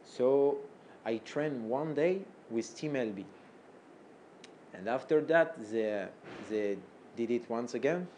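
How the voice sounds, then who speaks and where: Halting French-speaking fighter, mellow voice. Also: male voice, mid-range voice, slight background noise. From France. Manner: halting, many fillers and restarts. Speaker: fighter being interviewed. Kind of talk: interview answers in second language.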